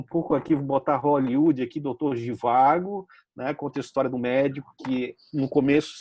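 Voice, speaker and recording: A Brazilian man giving recommendations on a talk show, halting and repeating words as he speaks. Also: mid-range voice, mellow, slightly rough. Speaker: regular guest giving tips. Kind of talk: casual discussion show.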